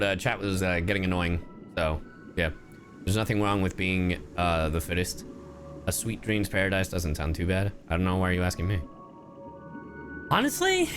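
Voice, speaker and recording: A man streams a game with a low voice that swings up and down freely. He reacts casually and sarcastically, swearing offhandedly as he goes. Game music plays clearly behind him. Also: sing-song, moderate pace, pauses often. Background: video game music and dialogue.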